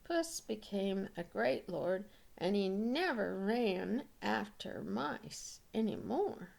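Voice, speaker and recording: Unhurried, expressive woman storyteller. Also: medium pitch, creaky. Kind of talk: expressive fairy-tale narration read aloud.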